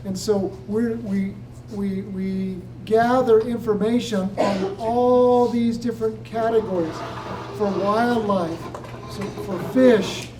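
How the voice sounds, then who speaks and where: A soft-spoken woman presenting at a public meeting, explaining with many fillers and frequent "certainly.". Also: medium pitch, steady tone, takes it slowly. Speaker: presenter answering questions. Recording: distant mic with faint room noise.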